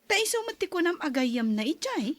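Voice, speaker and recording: A woman's voice: high voice, very animated, fairly quick, dry recording. A woman playing an earnest cousin and confidante giving counsel in a radio-style drama.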